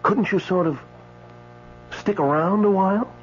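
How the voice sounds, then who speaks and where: An actor playing an indignant, newly dead man in a radio drama, speaking with hesitant stammers and dramatic pauses. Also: sits high. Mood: pleading. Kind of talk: radio drama acting with first-person narration. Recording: dull radio broadcast, faint mains hum.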